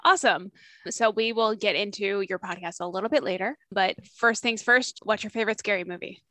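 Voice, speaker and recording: A woman's voice: mid-range voice, fairly quick, sharp tone, no room sound. A woman hosting a horror podcast, reading the intro and then asking her guest questions in a casual way. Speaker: podcast host.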